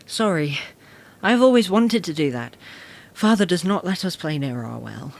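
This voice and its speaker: Earnest young boy, very lively pitch. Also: normal speed, vocal fry. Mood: curious, earnest. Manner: hesitant, earnest, period formal diction. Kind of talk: period audio drama acting.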